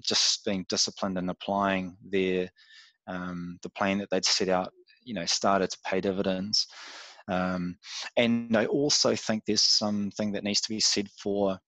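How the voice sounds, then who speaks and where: A man's voice: sits low, a bit bright, no echo, low-quality recording. A man from New Zealand with a New Zealand accent, talking as a podcast guest in a reflective, casual way with frequent fillers. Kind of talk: casual interview chat.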